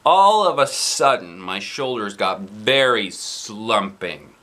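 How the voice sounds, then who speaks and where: A man reading a children's book aloud as an expressive dad, with dramatic emphasis. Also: fairly low pitch, slow. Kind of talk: animated storybook narration.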